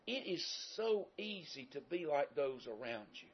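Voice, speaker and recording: A man's voice: a little high, big pitch swings, a little slow, husky. A man with a Southern American accent preaching a sermon in a folksy, conversational way with emphatic rises. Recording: dull lo-fi sound.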